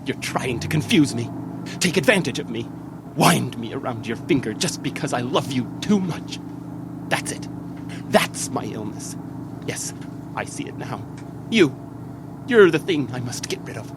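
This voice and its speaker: A man's voice: medium pitch, lively intonation, measured pace, long pauses, background noise. An actor playing an unstable, lovesick young man who stammers and frets in old-time radio mystery style. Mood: menacing, unhinged. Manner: stammering, pleading, overwrought.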